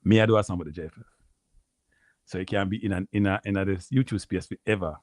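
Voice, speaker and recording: Man, Jamaican accent, deep voice, rambling livestream chat, repeats phrases, dry close mic. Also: steady tone, fast, a little mellow, no room sound. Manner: rambling, repeats phrases, addresses viewers as people. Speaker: live stream host.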